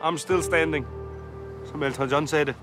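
A man's voice: a little high, fairly even intonation. A young man on a reality show, chatting bluntly and wryly on a tropical beach, swearing casually. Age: young adult. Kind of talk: casual chat and confessional interview. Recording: reality TV with music underneath. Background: music bed.

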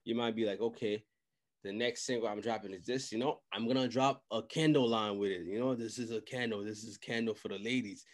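A man's voice: lowish voice, fairly quick. Young man giving casual, slangy advice. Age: young adult. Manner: animated, slangy, repeats phrases. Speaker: podcast host and music industry adviser. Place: small indoor room, video call. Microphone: desk microphone, close.

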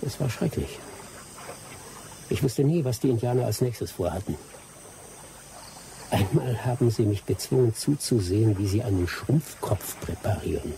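A man's voice: lowish voice, lively intonation, normal speed, gravelly, pauses often, dark tone, slight background noise, poor audio. An actor playing a seasoned, teasing male jungle adventurer with dry humour in a German radio drama. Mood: haunted.

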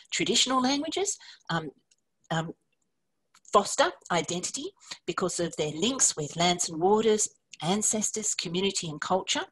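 A woman giving a measured conference presentation, explaining slides with occasional fillers. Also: fairly low pitch, a bit bright, breathy edge, poor audio. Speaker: academic presenter.

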